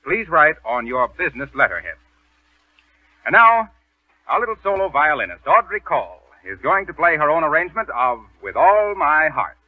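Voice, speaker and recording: A man's voice: a little high, big pitch swings, normal speed, dry recording. A man announcing a 1930s radio advertisement in a polished, formal sales style. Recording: tinny, band-limited 1930s radio recording.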